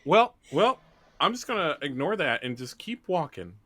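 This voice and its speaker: A playful player improvising in a tabletop game. The voice is bright and forceful, with very lively swings of pitch. It is recorded dry. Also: normal speed, dry recording. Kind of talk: casual improvised tabletop roleplay.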